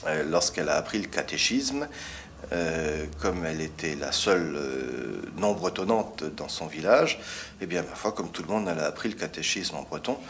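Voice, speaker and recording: Deep-voiced man from Brittany, hesitant interviewee, with a faint hiss behind him. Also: animated, fairly bright tone. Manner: hesitant, many pauses and fillers. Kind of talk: interview answers.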